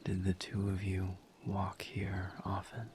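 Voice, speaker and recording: Casting: male actor as gentle misery demon for audio roleplay; low, breathy whisper, extremely slow and soothing. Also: monotone, slight background noise. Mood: gentle, consoling. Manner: slow, halting, soothing, with long pauses.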